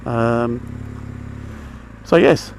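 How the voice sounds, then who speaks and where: A man's voice: mid-range voice, drawn-out words, creaky, darkish tone. A man giving a casual, rambling, matter-of-fact gear chat as a motorcycle vlogger. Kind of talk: casual chatty gear review while riding. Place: outdoors inside a helmet on a motorbike. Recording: engine, traffic and outdoor noise, helmet mic.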